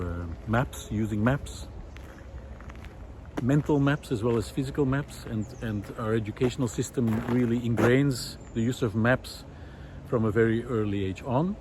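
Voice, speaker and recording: A middle-aged man musing aloud in a reflective, hesitant monologue with frequent pauses, recorded while walking outdoors. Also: mid-range voice, warm tone. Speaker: visual artist and vlog host. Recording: outdoor ambient noise.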